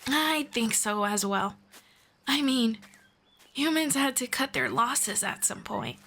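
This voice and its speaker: A woman playing a friendly, knowledgeable elf in a scripted roleplay, speaking to the listener in a formal, measured, gently explanatory way. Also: on the high side, slight background noise, exaggerated voice. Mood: calm, wistful. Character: friendly, knowledgeable female elf guiding a human. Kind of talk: scripted roleplay audio, one-sided conversation with listener.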